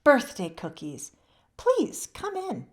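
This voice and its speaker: A woman voicing a sweet, gentle old lady of ninety in a storybook. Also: high voice, wildly swooping pitch, slow, pitched above the performer's own voice, heavily acted. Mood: welcoming. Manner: gentle, kindly, polite.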